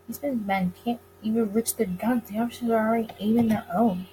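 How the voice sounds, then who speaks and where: High-voiced woman reading a story game aloud. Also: fairly even intonation, brisk, warm tone, slight background noise, poor audio. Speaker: let's player reading a visual novel aloud. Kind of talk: dramatic reading of game text with casual asides.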